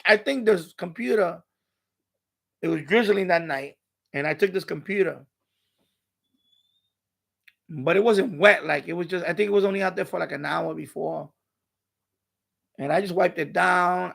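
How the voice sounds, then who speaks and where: A man hosting a casual live stream, rambling and repeating himself in halting, unscripted sentences. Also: high-pitched, creaky, fairly bright tone. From New York City, USA.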